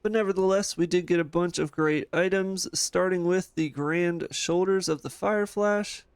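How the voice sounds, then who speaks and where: A man with a smooth, clear voice pitched a little high talks through a game guide in an eager, casual way. His voice sits close to the microphone with a dry sound and no room around it. He keeps filling pauses with easy filler phrases. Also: dry recording. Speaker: gaming channel host.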